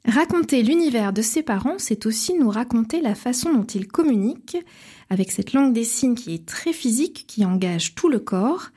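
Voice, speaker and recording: A woman radio host reads a scripted book review in calm, measured phrases. Her voice sits a touch high, with a mellow, breathy softness. The tone is steady and unhurried throughout.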